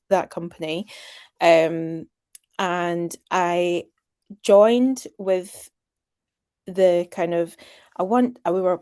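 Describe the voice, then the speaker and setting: A woman's voice: fairly low pitch, dark tone, no room sound, clean studio sound. A Scottish woman in her thirties, chatting casually as an interview guest. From Scotland.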